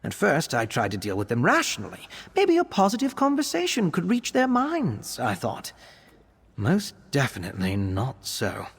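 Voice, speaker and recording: A man playing an earnest, bookish young male vampire alchemist in a video game, speaking in an articulate, expressive way. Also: fairly high pitch, normal speed, slightly rough, in a large hall, full character voice. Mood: earnest, reflective. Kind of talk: video game character voice acting.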